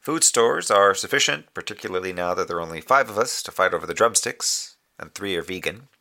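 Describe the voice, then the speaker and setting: A moonbase communications officer in a sci-fi audio drama. The voice is very deep yet bright and sharply projected, with measured, officious phrasing and a dry, wry streak. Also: male voice, animated, vocal fry, heavily acted. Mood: formal, dry. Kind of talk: scripted audio drama broadcast report.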